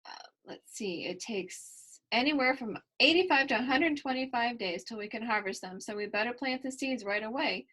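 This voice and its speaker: A woman's voice: a little high, normal speed, pushed and bright. A woman voicing an affectionate, teasing farm grandfather in a children's storybook, folksy and patient. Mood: kindly, explaining.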